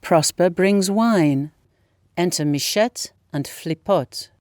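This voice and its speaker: Female narrator, low pitch, scripted stage directions, dry close recording. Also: takes it slowly, a little mellow, no room sound.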